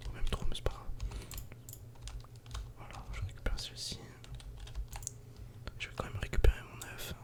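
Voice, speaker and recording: A man whispers casual ASMR game commentary in a breathy, unvoiced voice, speaking directly to the viewer. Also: moderate pace, pauses often. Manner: casual, soft-spoken, addressing the viewer directly. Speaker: ASMR gaming video creator.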